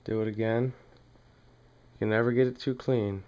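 A man with a fairly low, clean and smooth voice talks through a hands-on demonstration. His intonation stays very flat. He speaks plainly and casually, halting now and then as he works in a small room at home. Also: muffled recording. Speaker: demonstrator in a home how-to video. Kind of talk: casual hands-on tutorial talk.

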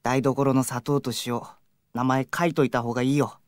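Boyish, teasing teenage big brother. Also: female voice, rumbling low, little pitch movement, moderate pace, darkish tone, no room sound, clean studio sound. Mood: weary, deadpan. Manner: boyish, casual, comically exaggerated. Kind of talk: Japanese anime-style audio drama acting.

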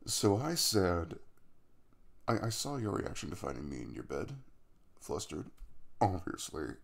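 Young male crush played by a man for intimate audio roleplay: low, very creaky, easygoing, caring, teasing. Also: heavily acted. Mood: playful, teasing. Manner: rambling, casual, jokey and reassuring. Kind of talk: intimate ASMR boyfriend roleplay, conversational.

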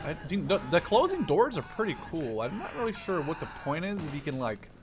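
A young man with a slightly high voice commentates casually on his own gameplay. He rambles excitably and swears freely. Clear gunfire and game noise sit behind his voice. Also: fairly quick. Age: 20s. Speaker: video game streamer. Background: video game gunfire.

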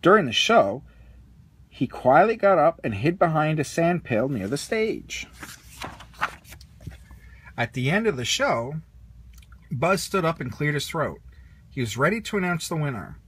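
A man reading a children's story aloud in an animated manner with a husky voice. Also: a little high, a little slow, pauses often, a little hiss or hum. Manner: animated, puts on character lines. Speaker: storybook reader.

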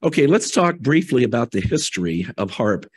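A middle-aged man, an electrical engineer, giving a conference presentation in a relaxed, conversational way, his voice hoarse from a head cold. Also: mid-range voice, moderate pace. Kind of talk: technical conference talk.

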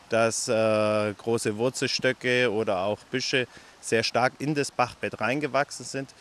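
A man's voice: medium pitch, fairly bright tone, a little hiss or hum. A young man explaining work on site in an interview outdoors, hesitant with many fillers, into a handheld mic. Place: outdoors among trees. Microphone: handheld reporter mic.

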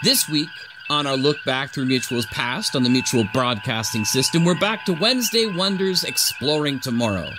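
A man's voice: medium pitch, animated, moderate pace, hardly any pauses. A man hosting a podcast with a scripted, playful introduction. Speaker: podcast host. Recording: clear background ambience noise.

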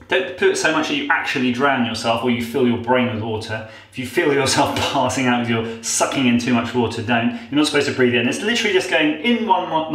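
A man's voice: mid-range voice, fairly bright tone. A nasal-voiced man in his thirties talks loosely and jokes at his own expense as he demonstrates something in a small tiled bathroom. His voice bounces off the hard walls, and a tap runs behind him. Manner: chatty, self-mocking, rambling asides. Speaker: vlogger. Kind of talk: casual how-to demo. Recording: echoey bathroom. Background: running tap water.

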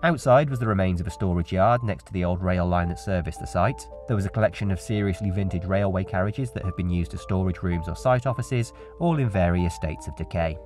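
A man in his thirties touring derelict sheds, with wry, chatty commentary and voice-over. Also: low voice. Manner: wry, chatty, marvels at decay. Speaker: urban explorer vlogger. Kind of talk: on-location exploring commentary and voice-over narration.

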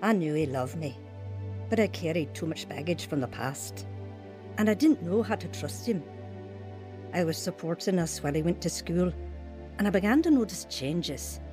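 A woman's voice: lowish voice, animated, normal speed, music in the background, close to an everyday voice. An actress playing a troubled, heartfelt young wife who tells her life story in a scripted radio drama, with a sincere manner. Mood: reflective. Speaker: actor narrating a radio drama. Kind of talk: scripted first-person dramatized testimony.

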